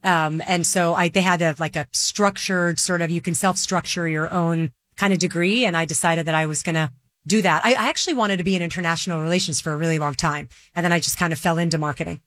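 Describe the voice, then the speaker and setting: A woman's voice: on the low side, steady tone, a bit bright. A middle-aged woman from Dubai in the Middle East, answering interview questions in a chatty way, with lots of "like" and "you know". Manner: chatty, quick, with many fillers like "like" and "you know". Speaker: guest, marketing executive.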